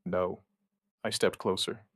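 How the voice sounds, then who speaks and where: A man playing an outbreak survivor and protective partner, telling his story in measured, understated, short plain sentences as a scripted audio drama. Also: deep voice, steady tone, no room sound, clean studio sound, heavily acted. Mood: firm, reassuring. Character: male outbreak survivor recounting events, steady and protective partner. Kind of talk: first-person scripted narration of apocalyptic audio drama.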